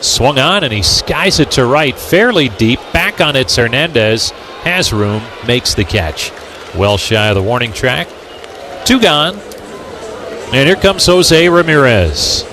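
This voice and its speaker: A man's voice: medium pitch, expressive, slow pace. Male baseball announcer over ballpark noise. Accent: American. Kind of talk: live radio sports commentary. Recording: clear background noise from outdoor ballpark.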